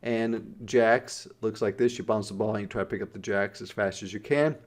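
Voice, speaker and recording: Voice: medium pitch, fairly even intonation, moderate pace. A teacher reading a children's story aloud, explaining simply and putting questions to the listener.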